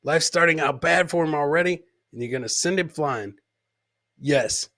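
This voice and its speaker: A man's voice: a little high, normal speed, pushed and bright, no echo, clean studio sound. A man hosting a comedy podcast, commenting casually on funny clips and repeating his phrases for comic effect.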